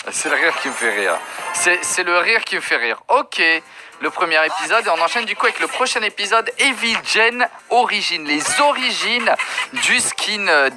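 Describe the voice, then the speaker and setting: A young man doing casual, slangy reaction commentary as a video host, chatting to his viewers. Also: high voice. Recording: loud music behind the voice.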